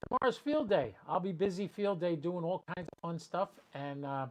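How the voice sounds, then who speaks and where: A man's voice: sits high, expressive, normal speed. A man chatting casually and rambling as he hosts an amateur radio livestream, talking directly to his viewers.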